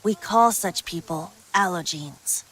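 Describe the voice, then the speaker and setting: A woman voicing a youthful male wind god and bard in a video game, whimsical and gently wise, with a light boyish voice. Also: lowish voice, breathy edge, exaggerated voice, low-quality recording. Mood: confiding. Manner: wistful, playful, measured storytelling.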